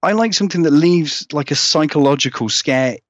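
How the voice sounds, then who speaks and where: A man's voice: fairly high pitch, expressive, fairly quick, no echo, dull audio. A British man chatting casually as an interview guest, rambling and acting out remarks as he goes. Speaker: guest horror writer.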